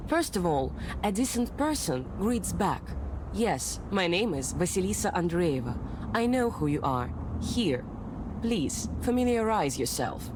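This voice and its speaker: Snooty confident young woman in a period game, curt n formal, very animated pitch, background noise. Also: a little slow. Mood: haughty, cool. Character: haughty, self-assured young educated woman in 19th-century Russia. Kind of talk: visual novel voice acting.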